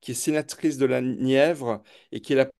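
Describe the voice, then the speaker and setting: Man, debate host, middle pitch, dark relaxed tone, halting, word repetitions. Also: creaky. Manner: halting, repeats words. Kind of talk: live event moderation.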